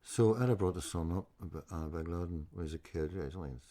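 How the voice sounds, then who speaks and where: A man's voice: deep voice, fast talker, mellow. A man hosting a podcast, telling anecdotes bluntly and swearing freely. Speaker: podcast host. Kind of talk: casual conversation.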